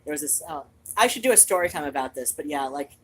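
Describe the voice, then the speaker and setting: Low-pitched woman, rambling casually. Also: quick pace, a little hiss or hum, poor audio. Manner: animated, digressive, swears freely. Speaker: host of a reading and chat show. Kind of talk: rambling casual personal chat.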